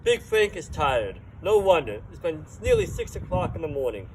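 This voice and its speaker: Lively high-voiced man reading, noisy background. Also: moderate pace. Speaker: firefighter reading a children's book. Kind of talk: read-aloud story narration.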